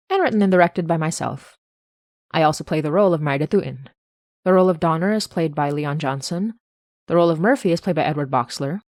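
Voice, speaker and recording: A woman's voice: on the low side, a little mellow, no echo, studio quality. A woman reading scripted podcast credits and announcements as the show's creator.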